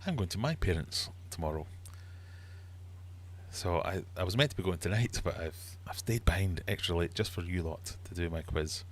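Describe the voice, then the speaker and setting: Deep voiced glasgow guy rambling away on a livestream, really up and down delivery. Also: normal speed, slight background noise. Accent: Glaswegian Scottish. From Glasgow, Scotland. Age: middle-aged. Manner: chatty, rambling, animated. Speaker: livestream host and quizmaster. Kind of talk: casual live chat, reading viewer comments.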